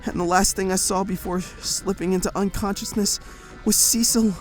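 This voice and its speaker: A woman narrates a first-person audio drama with a tense, breathless edge. Her voice sits at an ordinary middle pitch. Soft music plays faintly behind her. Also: fairly even intonation, moderate pace.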